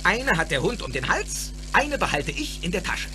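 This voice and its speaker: An eccentric older scientist in an audio drama. He is excitable and theatrical, his pitch leaping up and down as he speaks emphatically, with music clearly behind him. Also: normal speed. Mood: excited, instructing. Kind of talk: German audio drama acting.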